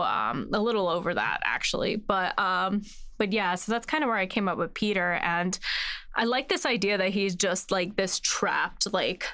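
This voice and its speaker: Clear-voiced woman chatting casually. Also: mid-range voice, fairly bright tone, dry recording, clean studio sound. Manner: chatty, informal, says "like" and "you know" often. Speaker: host, series writer. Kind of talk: casual behind-the-scenes chat.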